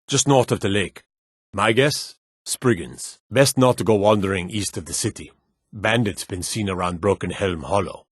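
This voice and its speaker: A man voicing a stern, dutiful fantasy city guard in a video game, speaking in a gruff, formal, clipped way. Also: fairly low pitch, dry recording, studio quality. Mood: wary, advising. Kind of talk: scripted game character dialogue.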